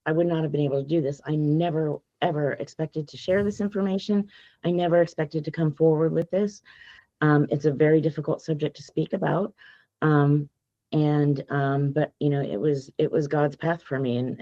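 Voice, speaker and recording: Hoarse woman, earnest and emphatic. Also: low-pitched, dark tone, dry recording, lo-fi sound. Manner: earnest, emphatic, speaks from faith. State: hoarse from allergies. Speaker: guest author. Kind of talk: podcast interview.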